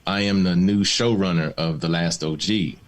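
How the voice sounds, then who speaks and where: A man born in the Bahamas and raised in Maryland, a TV showrunner speaking as a guest, telling personal stories in a candid panel conversation, reflective and quick to laugh, over a video call. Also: deep voice, fairly even intonation. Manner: reflective, storytelling, laughs easily.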